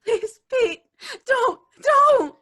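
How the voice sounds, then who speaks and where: A woman's voice: very high-pitched, expressive, extremely slow, sharp tone, full character voice. An actress playing a frightened, desperate woman in an old-time radio drama, pleading melodramatically. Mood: terrified pleading. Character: frightened, pleading woman trapped in mortal danger.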